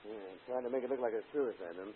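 An actor playing a quiet plainclothes policeman, low-key and questioning, in a 1940s radio drama. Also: fast, husky. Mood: probing. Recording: narrow old radio broadcast recording.

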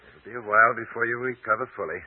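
An actor playing a soothing, fatherly older doctor in a 1940s radio drama, calm and reassuring. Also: on the low side, racing, slightly rough, no room sound. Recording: old narrowband radio recording.

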